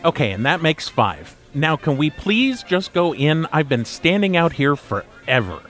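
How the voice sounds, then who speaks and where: A man's voice: fairly high pitch, sing-song, measured pace, fairly bright tone, faint music behind. A man playing a long-suffering, sarcastic podcast host in a comedy audio drama, speaking drily. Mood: exasperated, sarcastic. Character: exasperated, sarcastic male podcast host herding his friends.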